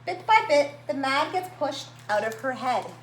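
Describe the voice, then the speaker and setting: A woman reading a picture book aloud to young children, with expressive, dramatic emphasis and drawn-out words. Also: high-pitched. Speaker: children's story-time reader at a library. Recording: roomy echo, faint background noise.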